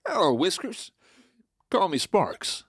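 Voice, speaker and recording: A man's voice: high-pitched, big pitch swings, slow pace, vocal fry, heavily acted. A man playing a sly, cocky Old West outlaw who narrates his own tale in a folksy, teasing, conversational way. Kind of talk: first-person storytelling narration in audio drama. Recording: loud music under the voice.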